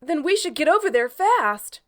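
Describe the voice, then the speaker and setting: A woman's voice: high voice, sing-song, normal speed, fairly bright tone. A woman playing a cool, self-assured female bat spy in a cartoon audio drama. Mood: concerned.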